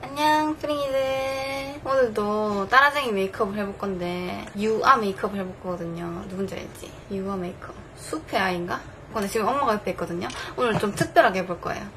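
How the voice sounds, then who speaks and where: A woman's voice: mid-range voice, fairly quick, hardly any pauses, fairly bright tone, slight background noise. A young woman in her twenties with a Busan accent, chatting casually as a beauty vlogger in her bedroom, laughing often. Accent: Busan Korean. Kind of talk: casual makeup chat. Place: bedroom, indoors.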